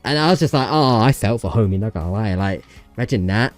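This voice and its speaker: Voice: mid-range voice, expressive, quick pace, faint music behind. A young adult host chatting casually while reacting to game clips, sweary, slangy and jokey.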